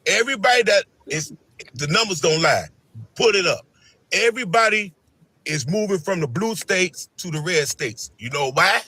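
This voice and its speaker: A man arguing his side in a live panel debate, loud and insistent, repeating his points. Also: sits high, animated, moderate pace, breathy edge. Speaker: panel guest.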